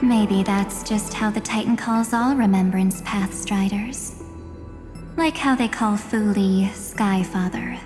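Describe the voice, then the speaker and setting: A woman voicing an enigmatic, composed guardian of memory in an anime-style game, measured and cryptic. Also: mid-range voice, big-room sound, music in the background, close to an everyday voice. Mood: mysterious, composed. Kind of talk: anime game voice acting, storytelling.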